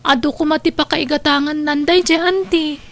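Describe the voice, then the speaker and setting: A very high-pitched actress playing a young student sister in an Ilocano radio drama. She has an Ilocano accent and a needy, pleading way about her. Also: steady tone, moderate pace. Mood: defensive. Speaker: voice actor.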